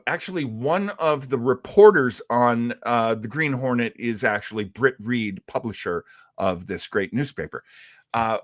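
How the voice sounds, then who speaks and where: A man with a medium-pitched voice talks as a show co-host in a close, dry recording. He rambles along casually like an enthusiastic fan on a live stream. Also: a bit bright, no room sound. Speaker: co-host of a retro radio show. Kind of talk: casual live-stream chat about old radio serials.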